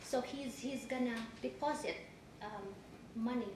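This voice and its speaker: A woman with a Filipino accent testifies in a courtroom, her fairly high voice stopping and restarting as she searches for words. The room sounds very echoey, and a clear layer of background noise runs under her speech. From Philippines. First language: Tagalog. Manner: halting, many restarts. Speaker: witness in a divorce hearing.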